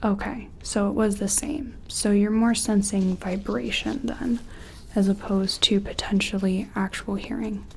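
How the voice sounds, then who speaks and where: A woman performing a gentle ASMR roleplay as an examiner, soft-spoken and often whispering, explaining things in a tentative, clinical way. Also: mid-range voice, flat delivery, measured pace, gravelly, slight background noise.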